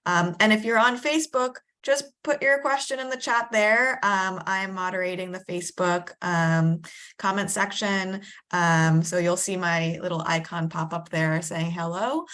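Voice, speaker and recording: A woman's voice: fairly low pitch, fairly even intonation, measured pace, a bit bright. A woman who moderates the chat, explaining to a video call audience how to send in questions, with some fillers. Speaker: event staff, chat moderator. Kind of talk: giving instructions on a video call.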